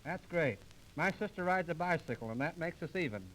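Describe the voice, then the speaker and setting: A man's voice: on the high side, expressive, fairly quick, fairly bright tone, slight background noise, low-quality recording. A man performing theatrical, mock-exasperated comic patter as the straight man of an old 1930s radio comedy duo. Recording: restored 1930s radio transcription disc.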